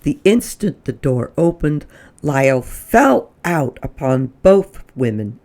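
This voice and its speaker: A woman reading a children's story aloud as a storytime host, with dramatic emphasis and drawn-out words. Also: sits low, sing-song, dark tone. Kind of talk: expressive read-aloud storytelling.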